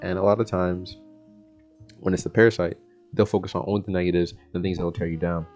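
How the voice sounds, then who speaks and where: A man's voice: low-pitched, fairly even intonation, quick pace, darkish tone, dull audio, faint music behind. A young American man giving a casual self-help monologue in a laid-back, slangy, rambling way. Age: young adult. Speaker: vlogger.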